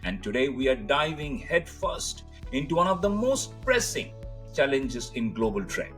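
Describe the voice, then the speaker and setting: A man's voice: mid-range voice, big pitch swings, moderate pace, a bit bright, soft background music. A man hosting an interview podcast, speaking with emphatic, formal phrasing. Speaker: podcast host.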